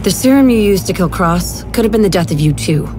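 A woman's voice: mid-range voice, expressive, fairly quick, lightly acted. A woman playing a serious, matter-of-fact resistance ally in a video game. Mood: grave. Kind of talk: video game cutscene acting. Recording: music behind the voice.

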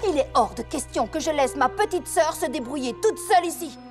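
A woman's voice: sits high, expressive, brisk. A woman playing a doting, earnest and overprotective big sister pony in a cartoon, emphatic and fussing. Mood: firm. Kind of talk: animated cartoon dub acting. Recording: music behind the voice.

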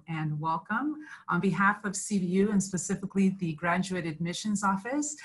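A woman's voice: lowish voice, slightly rough. A middle-aged woman whose first language is Spanish, giving a welcome presentation as an admissions director on a video call. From immigrated to the US at age three. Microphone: earphone headset on video call.

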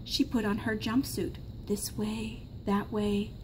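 A woman in her thirties reads a picture book aloud like a teacher to young children. Her voice sits at a medium pitch, recorded in a classroom with faint background noise. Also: flat delivery, takes it slowly. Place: small classroom, indoors. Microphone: camera a short distance away.

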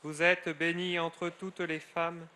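A middle-aged friar leading solemn prayer in a measured cadence, his phrases falling away at the end. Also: fairly high pitch, level tone, bright, forceful tone. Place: outdoors at a grotto shrine.

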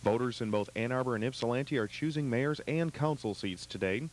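A young man reading a local news bulletin on the radio in a measured newsreader style, into a studio boom mic. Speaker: radio newscaster. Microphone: boom mic with headphones.

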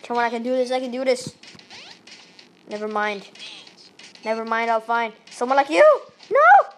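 A woman from Malaysia with a Malaysian accent, giving excitable let's-play commentary into a tablet microphone held up to her face, yelping and pleading at the game. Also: a little high, moderate pace, background noise. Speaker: gaming channel host.

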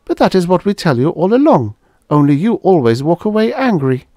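A man's voice: high voice, sing-song, fairly quick, warm tone, no room sound, studio quality, voice pushed up. A man voicing a woman from Venus who speaks broken English, dropping small words. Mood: earnest. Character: alien woman from Venus, simple and earnest.

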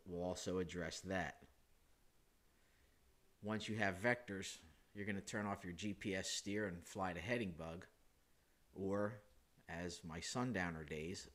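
A man, hoarse from a chest cold, hosting a screen-recorded flight simulator how-to, talking it through casually with self-corrections and filler sounds. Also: sits low. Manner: relaxed, thinking aloud with frequent uh and self-corrections. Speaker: flight sim hobbyist host.

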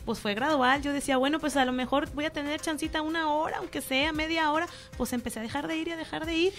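Middle-aged Mexican woman, show host, high voice, friendly anecdotal chat. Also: steady tone, quick pace, fairly bright tone, faint music behind. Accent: Mexican Spanish. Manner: chatty, personal anecdotes, addresses audience as friends. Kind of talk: casual talk show chat.

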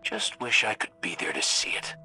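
An actor playing a cocky, wisecracking robot gunslinger in a video game, with an American accent and a wry, folksy, sardonic way of talking. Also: male voice, lowish voice, moderate pace, creaky, pushed and bright, soft background music, heavily acted. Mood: wry menace. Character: cocky wisecracking male robot gunslinger, roguish hunter leader. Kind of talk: video game voice acting, recorded farewell messages.

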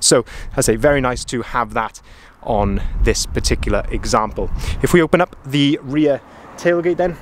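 A man's voice: mid-range voice, slight background noise. Upbeat salesman walking around a van. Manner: upbeat, enthusiastic, frequent ums and uhs. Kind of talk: walkaround product review. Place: outdoor car lot beside a van. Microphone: camera-mounted mic, filming while walking.

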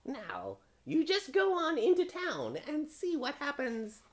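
A man voicing a sly, teasing old witch in a shrill, cackling falsetto for a storybook tale. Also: huge pitch swings, slow, exaggerated voice. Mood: sly, gleeful.

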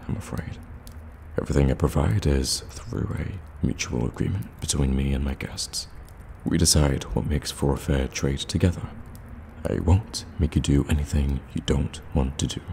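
A man playing a sly, courteous warlock shopkeeper in an ASMR fantasy roleplay, addressing the listener with teasing, soft-spoken flattery. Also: a bass voice, vocal fry, heavily acted. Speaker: voice actor in an audio roleplay. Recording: cavernous reverb, ambient background noise.

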